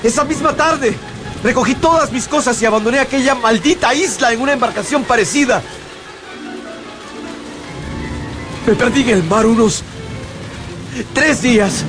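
A man's voice: very high-pitched, normal speed. An actor playing an anguished, haunted man who recounts his ordeal in a theatrical, fearful radio drama narration. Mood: anxious, bewildered. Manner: theatrical, fearful, repeats words for emphasis. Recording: studio radio drama with music bed.

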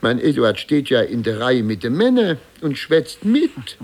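A man's voice: mid-range voice, big pitch swings, a little slow, a little mellow. An actor in a 1950s radio play, voicing an aggrieved small-town mayor, a worried father who laments in scandalised tones. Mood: indignant, lamenting. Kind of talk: 1950s German radio drama acting.

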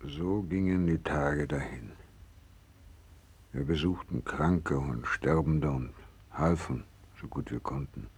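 An actor in a 1960s radio drama playing a gravely ill, devout doctor, husky and creaky, speaking slowly and solemnly with resigned fervour. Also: male voice, very deep voice, full character voice. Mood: grave, weary recollection. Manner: slow, solemn, resigned, fervent. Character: gravely ill, devout, selfless male Japanese doctor nearing death.

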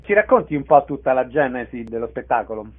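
A man's voice: medium pitch, expressive, fast talker. A man working as a radio interviewer, putting short, prepared questions to a guest. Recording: narrow phone-like radio sound.

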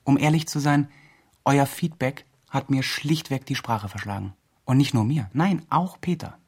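An actor playing an earnest, wordy do-gooder blogger making appeals in a radio drama. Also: male voice, mid-range voice, normal speed, darkish tone, breathy edge. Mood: moved, encouraging. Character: earnest do-gooder blogger campaigning for a homeless man. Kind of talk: scripted blog-like radio drama narration.

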